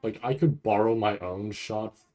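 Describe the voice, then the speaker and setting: A man with a lowish voice talks through footage in an informal, unscripted way. He thinks aloud as he goes, halting and repeating words while he works out what he is looking at. The delivery is that of a casual streamer's commentary. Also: dark tone. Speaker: anime reaction streamer. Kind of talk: casual off-the-cuff trailer breakdown commentary.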